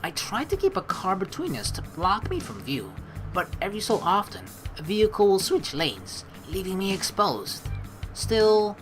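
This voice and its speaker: A woman hosting a let's play, reading game narration aloud and adding chatty, rambling commentary in an expressive way. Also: mid-range voice, moderate pace, over music, poor audio.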